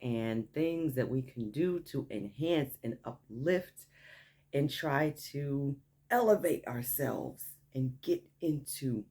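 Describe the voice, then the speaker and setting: A woman with a naturally deep voice telling a story to her viewers in a chatty vlog, often tossing in "okay?" as she hypes things up. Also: a little mellow, breathy edge. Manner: chatty, frequent "okay?" asides, hyped enthusiasm. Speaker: vlogger. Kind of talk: casual story time vlog.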